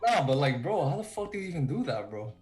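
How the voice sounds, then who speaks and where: A man chatting casually with a student breakout group on a video call, slangy and excitable. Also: fairly high pitch, fast, faint music behind. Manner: slangy, excitable, laughs loudly. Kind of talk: casual chat.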